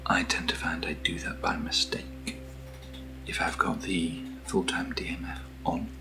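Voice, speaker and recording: A British man speaking in a soft, breathy near-whisper as he hosts a casual ASMR video, gentle and unhurried, close to the microphone. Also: low voice, level tone, music in the background. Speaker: ASMR video host reviewing camera gear. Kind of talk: soft-spoken ASMR, casual unboxing chat.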